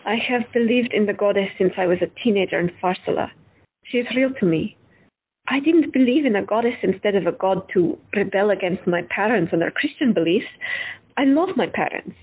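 A woman's voice: mid-range voice, animated, brisk, husky. A woman playing a blunt, unconventional doctor. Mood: candid. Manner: blunt, candid, lightly teasing. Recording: tinny phone-like audio.

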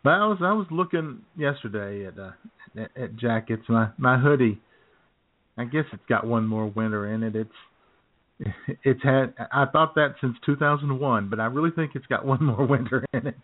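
A man's voice: mid-range voice, normal speed. A man hosting an internet radio show from a small-town home studio, chatting in a laid-back way and chuckling often. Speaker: host. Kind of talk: casual radio chat. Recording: tinny phone-like line.

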